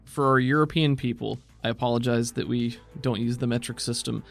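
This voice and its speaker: A man with an American accent talking casually as a game master, explaining rules and narrating in a measured, conversational way. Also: mid-range voice, fairly even intonation, vocal fry, soft background music. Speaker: game master and podcast host.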